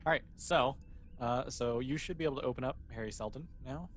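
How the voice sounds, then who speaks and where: A man's voice: medium pitch, fairly quick, slight background noise. A man running a tabletop role-playing game as game master in a casual, conversational way.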